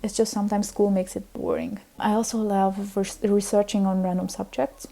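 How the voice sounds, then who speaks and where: A young woman, a native Hungarian speaker, talks casually to her vlog audience in a rambling way, full of fillers and restarts. Her tone is very dark and mellow. Her pitch sits at an ordinary middle height. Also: lively intonation, normal speed, gravelly, soft background music. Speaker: vlogger. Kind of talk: casual chat.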